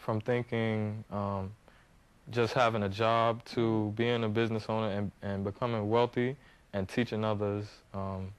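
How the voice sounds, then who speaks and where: Young guy from harlem, smooth lowish voice, lots of ums, kinda shy. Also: level tone, measured pace, low-quality recording. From Harlem, New York. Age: 20s. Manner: hesitant, many ums and you-knows, soft-spoken. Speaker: guest, young entrepreneur. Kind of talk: interview answers.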